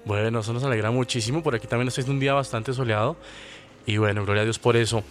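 A man's voice: medium pitch, fairly even intonation, fast. A man hosting an interview, asking questions and responding with friendly affirmations. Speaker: radio show host.

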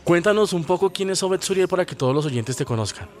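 A man's voice: high voice, lively intonation, fast. A man hosting an interview, asking questions and responding with friendly affirmations. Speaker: radio show host.

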